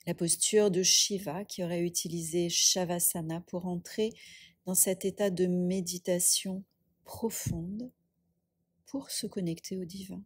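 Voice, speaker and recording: A woman guiding a yoga nidra meditation as a teacher, calm and unhurried, in soft breathy short phrases with long pauses. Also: fairly low pitch, no room sound, studio quality. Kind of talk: guided meditation.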